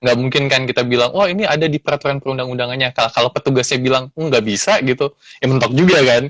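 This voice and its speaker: A man's voice: mid-range voice, fast, fairly bright tone, dry recording. A man in his thirties, a lawyer guest in a casual interview, speaking earnestly and reflectively with many fillers. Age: 30s.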